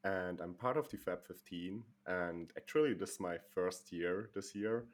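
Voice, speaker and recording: A man's voice: low voice, normal speed. A young man in his twenties from Germany, a podcast guest who speaks thoughtfully with frequent halts and repeated words. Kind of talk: casual podcast chat.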